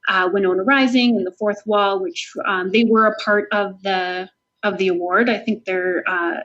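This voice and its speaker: A woman's voice: mid-range voice, fairly even intonation, moderate pace, a bit bright. Dull-sounding recording of a Canadian woman author. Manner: earnest, conversational, thinking aloud. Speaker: author being interviewed. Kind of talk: casual interview talk. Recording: dull lo-fi audio.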